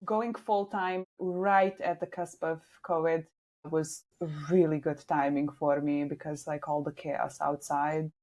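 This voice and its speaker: Young Slovenian-accented woman guest, lowish voice, easygoing chat full of "like.". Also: flat delivery, unhurried, a little mellow. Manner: chatty, frequent "like" fillers. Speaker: guest, social media manager. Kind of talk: casual interview chat.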